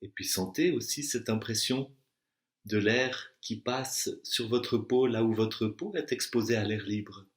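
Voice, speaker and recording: Low-voiced man guiding meditation, echoey room. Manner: slow, deliberate instructions with long pauses. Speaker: yoga nidra and meditation teacher. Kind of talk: guided relaxation meditation.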